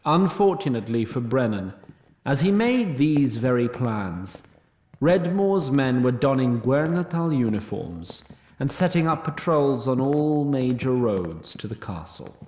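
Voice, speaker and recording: A man narrating a scripted fantasy story in a wry, measured way. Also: medium pitch, sing-song, a little mellow, echoey room.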